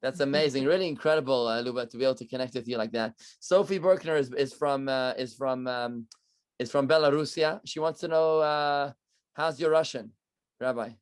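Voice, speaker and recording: A man's voice: mid-range voice, brisk, smooth, dry recording. A man, a rabbi, hosting a Q&A on a video call in an upbeat, encouraging way. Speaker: rabbi, event host.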